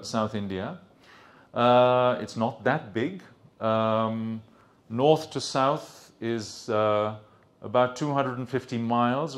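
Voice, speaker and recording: A man in his fifties with a British accent, giving a conference lecture into a handheld mic, relaxed and hesitant with many ums and restarts. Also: medium pitch. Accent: British English. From Sri Lanka. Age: 50s. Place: conference room with projector screen. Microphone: handheld microphone.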